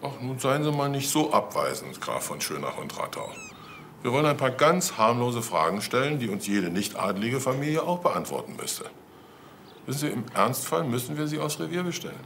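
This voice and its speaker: A man's voice: medium pitch, big pitch swings, moderate pace, gravelly, fairly bright tone, slight background noise. A man playing a wry police detective in a crime drama, ironic and mock-polite in manner. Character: wry, sardonic male police detective questioning people. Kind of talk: German TV crime drama acting.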